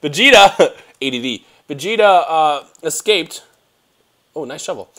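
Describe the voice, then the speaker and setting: Excitable young man narrating gameplay. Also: a little high, unhurried. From Germany. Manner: excitable, rambling, talks to himself while playing. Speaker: gaming youtuber. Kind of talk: casual let's play commentary. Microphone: headset.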